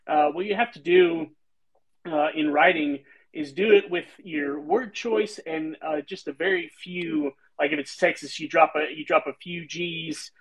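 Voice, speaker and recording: A man's voice: fairly high pitch, fairly even intonation, measured pace, poor audio. A man hosting a casual chat on a video call, rambling thoughtfully with lots of fillers. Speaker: host and author. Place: home room, indoors.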